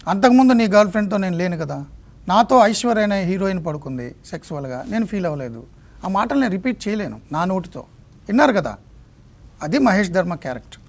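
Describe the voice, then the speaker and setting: A man's voice: high voice, animated, fast. A man presenting TV news commentary, speaking emphatically and indignantly, repeating phrases and posing rhetorical questions. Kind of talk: indignant exposé commentary on a leaked call.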